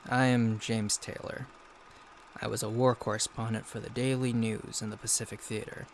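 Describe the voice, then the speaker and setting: A man playing a haunted 1950s war correspondent, narrating an audio log in a measured, literary, solemn way over background noise. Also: fairly low pitch, flat delivery, lightly acted. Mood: solemn, reflective.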